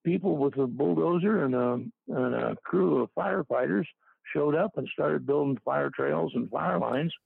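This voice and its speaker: An older man talks in a gravelly, husky voice, stopping and restarting as he recalls things. The sound is dry and tinny, like a phone line. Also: on the high side, no room sound. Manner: halting, with many fillers and restarts. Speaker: interviewee. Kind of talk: casual recollection.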